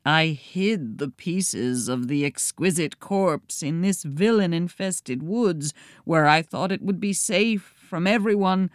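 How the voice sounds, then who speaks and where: A woman voicing a gloomy, defeated male pig in a storybook, speaking in a mournful, hushed manner. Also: fairly low pitch, slow pace, hardly any pauses, dry recording, clean studio sound. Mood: sad, apologetic.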